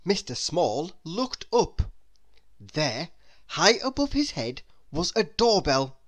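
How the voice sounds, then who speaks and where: A man reading a children's story aloud as narrator, in an expressive, deliberate way. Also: fairly high pitch. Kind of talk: scripted narration for young children.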